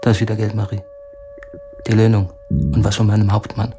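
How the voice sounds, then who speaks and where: An actor playing a poor, harried soldier and father in a radio drama, speaking in a halting, brooding way. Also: on the low side, mellow, faint music behind, lightly acted. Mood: weary, tender.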